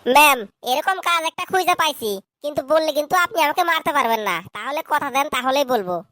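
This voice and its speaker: A woman's voice: sits high, animated, fast, fairly bright tone, no echo, studio quality. A woman voicing a cheeky wisecracking schoolboy. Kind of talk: cartoon dub acting.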